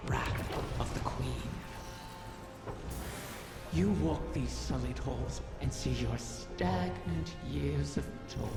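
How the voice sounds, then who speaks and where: An actor playing an ancient, cunning dragon-like creature in a video game, tempting and vengeful, with a silky, menacing, theatrical delivery. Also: male voice, medium pitch, vocal fry. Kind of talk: scripted video game dialogue. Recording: cavernous long reverb, music behind. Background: game music.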